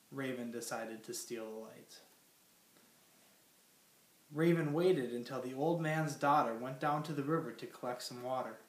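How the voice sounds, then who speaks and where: Young male teacher with a head cold, reads aloud plainly, roomy classroom sound from a distance. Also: mid-range voice. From Minnesota. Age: young adult. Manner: plain teacherly reading, many fillers. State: has a cold. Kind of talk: classroom lesson and story read-aloud.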